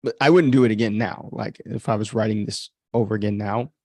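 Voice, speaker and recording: A man's voice: fairly low pitch, steady tone, a little fast, darkish tone, no echo, studio quality. A man hosting a casual livestream commentary on his own work as an author, thinking aloud in an informal, self-critical way. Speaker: author and podcast host.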